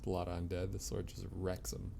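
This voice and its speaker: A man's voice: low voice, completely flat delivery, a little fast, gravelly, noisy background. A man giving casual live commentary on a video game, muttering to himself with short exclamations.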